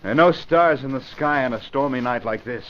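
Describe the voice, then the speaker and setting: An actor playing a betrayed wealthy playboy, bitter, sarcastic and accusing, in 1940s radio crime drama. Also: male voice, medium pitch, fairly quick, natural voice. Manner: sarcastic, indignant. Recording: muffled, noisy vintage radio recording.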